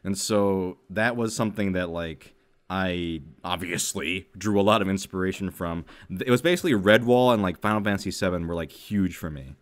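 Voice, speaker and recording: A man with a fairly low voice hosts a casual podcast. He talks in a loose, informal, conversational way. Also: moderate pace, clean tone. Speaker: podcast host. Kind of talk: casual chat.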